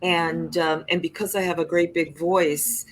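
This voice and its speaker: A woman's voice: on the low side, flat delivery, unhurried. An older woman, a singer-songwriter, talking reflectively and expressively about her craft in a podcast interview, often restarting her sentences.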